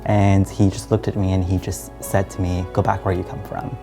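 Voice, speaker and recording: A man's voice: low voice, level tone, moderate pace, music in the background. A young man from Trinidad and Guyana telling his personal story in a reflective, earnest way, as in a documentary interview. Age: young adult.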